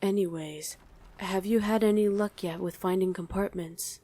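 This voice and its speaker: A woman's voice: medium pitch, fairly even intonation, moderate pace, mellow, natural voice. A woman playing a wry, easily irritated demon in a conversational ASMR roleplay, chatting and bickering with a partner. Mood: casual, curious. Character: female demon on mortal-hunting duty, wry, easily irritated. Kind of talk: ASMR roleplay acting to an unheard listener.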